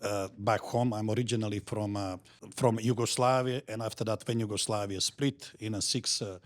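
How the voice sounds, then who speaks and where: A middle-aged man from Montenegro with a Montenegrin accent, talking as a guest in an interview in halting, repetitive, simple phrases. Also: lowish voice, fairly even intonation. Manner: halting, repeats words, simple grammar. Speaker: guest entrepreneur. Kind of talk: podcast interview.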